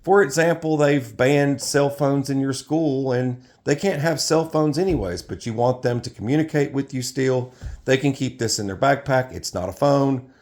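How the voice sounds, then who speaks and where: A man's voice: mid-range voice, normal speed, a little mellow. A middle-aged man giving an informal, off-the-cuff product review in a rambling way, often correcting himself.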